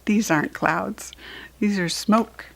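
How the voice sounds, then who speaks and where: An American woman reading a children's picture book aloud in an expressive, deliberate teaching manner, with asides to the listener. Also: medium pitch, creaky. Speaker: storybook reader.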